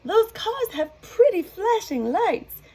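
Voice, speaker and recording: A woman voicing Molly, a giddy young female cow at the wheel of a truck in a storybook, squealing high with excitement. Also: slow, heavily acted.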